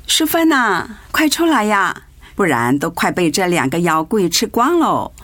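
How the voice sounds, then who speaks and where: An actress playing a doting, fussy older mother and grandmother in a radio drama. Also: medium pitch. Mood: coaxing, cheerful. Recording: music behind the voice.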